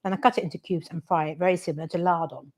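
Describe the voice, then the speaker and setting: A woman's voice: lowish voice, fairly even intonation, racing. A woman co-hosting a casual livestream, reading viewers' comments aloud. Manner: reads viewer messages aloud, light laughter.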